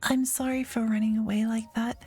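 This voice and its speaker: A woman voicing a light-voiced, apologetic neighbor in a game, hesitant, gentle and sincere. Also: on the high side, level tone, normal speed, soft background music, voice pushed up, natural voice. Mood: apologetic, searching.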